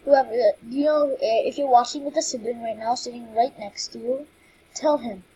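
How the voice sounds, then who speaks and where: A woman's voice: a little high, steady tone, normal speed, warm tone, breathy edge, highs cut off. A young woman starting her first channel, talking in a rambling, hesitant way with many fillers, recorded on a cheap microphone. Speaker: new YouTuber. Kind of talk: casual vlog intro. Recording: faint background noise, poor mic.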